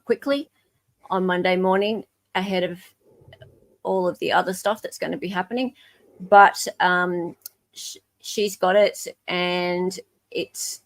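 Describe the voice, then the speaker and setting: A middle-aged Australian woman hosting a livestream, chatting casually in a playful, informal way. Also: lowish voice. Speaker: livestream host.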